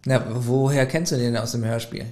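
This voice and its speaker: A man's voice: mid-range voice, steady tone, normal speed, a little mellow. A man co-hosting a casual podcast, teasing his partner with playful questions and arguments. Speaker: podcast co-host. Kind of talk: casual chat.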